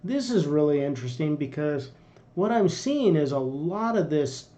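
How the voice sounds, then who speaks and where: A man's voice: on the high side, sing-song, normal speed, mellow. A middle-aged man casually commenting on a game trailer in a small room, rambling with fillers and restarts. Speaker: reaction video host.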